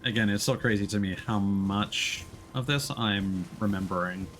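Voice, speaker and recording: A man giving casual live commentary as he streams a video game, often pausing to think aloud. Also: lowish voice, fairly bright tone, a little hiss or hum.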